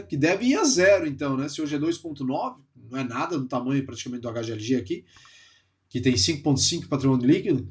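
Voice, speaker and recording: A man from Brazil talks through a report in a relaxed, conversational way, speaking a little stuffily because he has a cold. His voice sits slightly higher than most men's. He keeps tagging his sentences with quick filler checks. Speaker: finance YouTuber. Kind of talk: casual commentary on a report.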